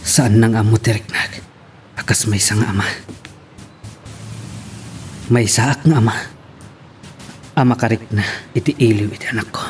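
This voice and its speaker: A man's voice: lowish voice, normal speed, long pauses, soft background music, natural voice. A man with an Ilocano accent acting a sorrowful young father who misses his child in a love drama.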